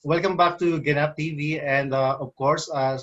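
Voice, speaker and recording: A man co-hosting an online talk show, speaking slowly and hesitantly with many fillers. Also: a little high, steady tone, fairly bright tone. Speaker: co-host. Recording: dull lo-fi audio.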